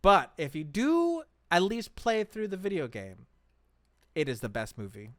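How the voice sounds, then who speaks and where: A man's voice: high voice, huge pitch swings, a little slow, dry recording, studio quality. A man co-hosting a casual chat show, talking enthusiastically and emphatically about his favourites. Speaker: podcast co-host.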